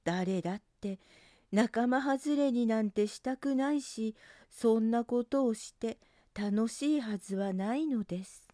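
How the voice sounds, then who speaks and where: A woman narrating a scripted picture book for children in a gentle, careful storytelling manner. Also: a little high, unhurried, dry recording, studio quality.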